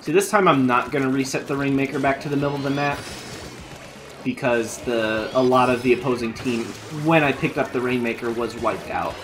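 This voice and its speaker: A man live-streaming a video game, chatting casually with viewers while he plays, his voice going hoarse from a long session. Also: mid-range voice, brisk, noisy background. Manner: chatty, thinking aloud while playing. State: losing his voice.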